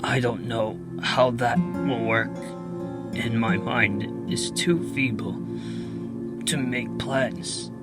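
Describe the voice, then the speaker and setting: Man playing a feeble, slow dying father. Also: medium pitch, fairly even intonation, close to an everyday voice. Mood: resigned, worried. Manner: halting, broken by throat clearing and coughs. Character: feeble dying father, grave and resigned, burdened with worry. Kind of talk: radio theater acting. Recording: loud music under the voice.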